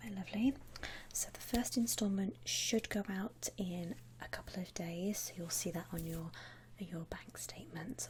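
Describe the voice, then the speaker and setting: A woman voicing a friendly, attentive vampire saleswoman in a gentle, breathy ASMR whisper, close to the mic. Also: fairly low pitch. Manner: gentle, reassuring, chatty customer-service talk with pauses. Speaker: ASMR roleplay performer. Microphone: close ASMR microphone.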